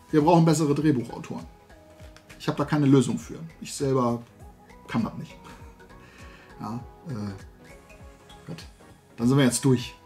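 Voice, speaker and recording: Guy in his 40s rambling casually to camera, voice a bit on the high side, emphatic and opinionated. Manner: animated rambling, colloquial, emphatic. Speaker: YouTube host of a toy collecting channel. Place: small indoor room lined with shelves of toys.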